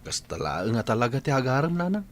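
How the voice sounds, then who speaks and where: A man's voice: mid-range voice, big pitch swings, fast talker, close to an everyday voice. An actor playing a stubborn, mournful, lovesick young man in a radio drama, with an Ilocano accent. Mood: glum, stubborn.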